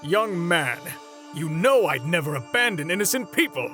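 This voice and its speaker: A man acting an earnest, fatherly older hero in a fan comic dub, pleading in a measured, dramatic way. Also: on the high side, sing-song, bright, forceful tone, soft background music. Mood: apologetic.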